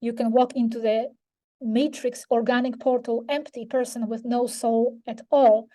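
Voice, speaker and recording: A woman, slightly hoarse, answering audience questions as a conference speaker, thoughtful and searching for words with frequent restarts. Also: fairly high pitch, flat delivery, moderate pace, dark tone. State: slightly hoarse, losing her voice.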